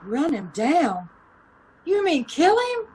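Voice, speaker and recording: Woman acting a very high-pitched, brassy girl hitchhiker. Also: wildly swooping pitch, takes it slowly, heavily acted. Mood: alarmed. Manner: folksy, blunt, exclamatory. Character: brassy, slangy young female hitchhiker, plain-spoken. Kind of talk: old-time radio drama acting. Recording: noisy amateur radio play recording.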